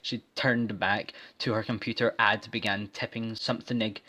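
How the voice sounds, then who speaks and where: A man reading a story as a deliberately bad parody narration, garbling and mispronouncing words on purpose. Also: fairly low pitch, little pitch movement, sharp tone. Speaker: fan fiction reader.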